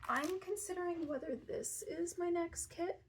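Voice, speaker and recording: A woman's voice: very high-pitched, normal speed, noisy background. A woman in her thirties presenting gift ideas in a fabric shop, chatty and self-deprecating with casual asides. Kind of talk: casual product showcase. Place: fabric shop in front of fabric shelves.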